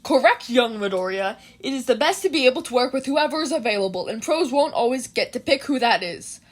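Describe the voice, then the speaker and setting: A woman's voice: fairly high pitch, lively intonation, fairly quick. A woman voicing a commanding, hearty male hero teacher with a formal, announcing manner in a fan fiction reading. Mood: hearty, authoritative.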